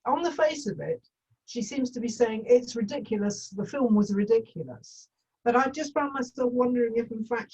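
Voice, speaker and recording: A woman's voice: medium pitch, dark tone, husky. A woman actor on a video call, discussing a script thoughtfully and tentatively, feeling her way through suggestions. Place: remote video call, away from the mic. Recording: muffled, distant video-call audio.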